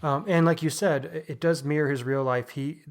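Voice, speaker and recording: A man's voice: a little high, fairly even intonation, fairly quick. A man co-hosting a podcast, talking through books in a casual, conversational style. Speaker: podcast co-host discussing books. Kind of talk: casual book discussion.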